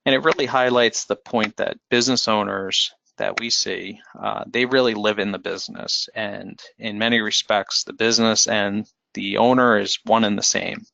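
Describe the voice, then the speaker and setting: A man presenting a webinar as a financial advisor, explaining in a measured way with frequent fillers and restarts. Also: on the low side, steady tone, vocal fry, pushed and bright, dry recording. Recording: dull lo-fi webinar audio.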